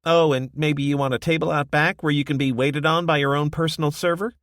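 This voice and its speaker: A woman's voice: deep voice, fairly even intonation, brisk, no echo, clean studio sound, pitched above the performer's own voice, close to an everyday voice. A man voicing a cheerful, teasing woman who runs a small café kitchen. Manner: teasing, bantering. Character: cheerful sharp-tongued woman café cook.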